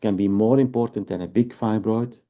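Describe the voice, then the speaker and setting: A Belgian-accented man in his fifties with a low, gravelly, mellow voice. He is a fertility doctor in an interview, and he explains patiently and methodically, often checking in with tag questions. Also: fairly even intonation, normal speed.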